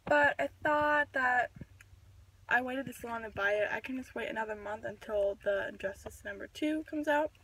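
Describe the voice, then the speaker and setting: A woman's voice: fairly high pitch, moderate pace, a bit bright. Teenage girl vlogging over background noise. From California. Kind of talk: casual rambling vlog chat. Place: mostly inside a car. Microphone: phone at arm's length. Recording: noisy handheld phone with vehicle sounds.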